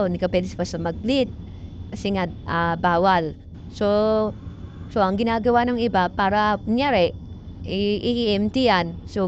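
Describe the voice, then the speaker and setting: A woman with a Filipino accent gives an informal technical tutorial as a vlogger, explaining in a halting, repetitive way with English technical terms mixed in. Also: medium pitch. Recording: muffled lo-fi audio with background noise.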